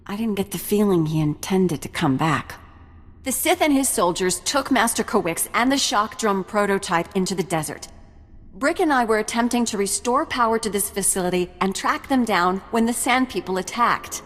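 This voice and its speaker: An actress playing an earnest research facility survivor in a video game, explaining things with concern. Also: female voice, medium pitch, lively intonation, moderate pace, close to an everyday voice. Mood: relieved, earnest. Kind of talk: video game cutscene acting. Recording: long hall reverb, music behind.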